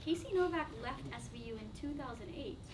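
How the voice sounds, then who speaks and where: A woman's voice: sits high, expressive, fast, a crowd in the background. A woman playing an anxious, wry 23-year-old in a staged play reading, hesitant but pointed and dry. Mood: wary. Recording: distant mic, audience sounds.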